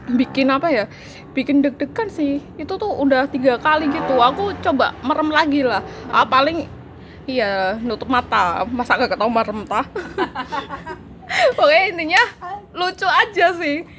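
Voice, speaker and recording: High-voiced giggly woman. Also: expressive, measured pace, fairly bright tone, slight background noise. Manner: giggly, laughs through her story. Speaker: co-host telling ghost stories. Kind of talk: casual chat.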